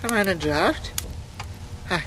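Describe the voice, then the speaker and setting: A woman's voice: medium pitch, expressive, measured pace, close to an everyday voice. An actress playing a fussy, doting old invalid woman, plaintive and coaxing, in a 1940s radio drama. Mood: fussy, cosy. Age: older. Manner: plaintive, coaxing, self-pitying. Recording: noisy vintage radio recording.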